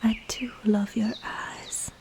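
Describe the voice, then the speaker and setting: A woman playing a scheming, seductive witch in a whispered ASMR roleplay, breathy and teasing, with falsely soothing reassurance. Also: a little high, little pitch movement, takes it slowly, darkish tone.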